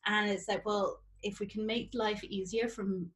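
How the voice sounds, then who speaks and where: A woman's voice: medium pitch, steady tone, moderate pace. A woman hosting an interview in a casual, informal way, sprinkling in "like" and "sort of". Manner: informal, filler-heavy phrasing with "like" and "sort of". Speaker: host.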